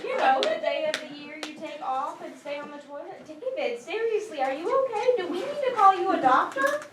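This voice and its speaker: A woman playing an excitable, easily outraged, exclamatory friend in a comedic staged play reading. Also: very high-pitched, fairly quick. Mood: concerned. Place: live staged reading in an echoey room. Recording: noisy, echoey room from far off.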